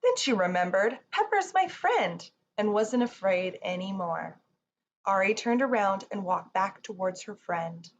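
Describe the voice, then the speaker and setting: A woman's voice: medium pitch, lively intonation, measured pace. A woman reading a rhyming children's picture book aloud as narrator. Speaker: storybook reader. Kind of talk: children's story read-aloud. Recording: muffled with faint background noise.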